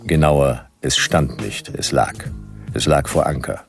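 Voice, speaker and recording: An actor playing a grumpy, world-weary private detective, narrating a radio play in deadpan, laconic, sardonic phrases. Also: male voice, rumbling low, a little slow, creaky, soft background music, full character voice.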